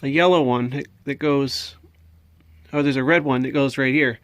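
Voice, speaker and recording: A man's voice: mid-range voice, fairly even intonation, normal speed, dry recording. An American man giving a casual show-and-tell, thinking aloud in a hesitant, puzzled way. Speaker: hobbyist video maker. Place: indoors at a home workbench.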